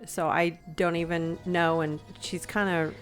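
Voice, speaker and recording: A woman's voice: fairly low pitch, little pitch movement, a little slow, faint music behind. A middle-aged woman co-hosting with her daughter, making wry joking asides in casual chat. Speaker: co-host, the host's mother.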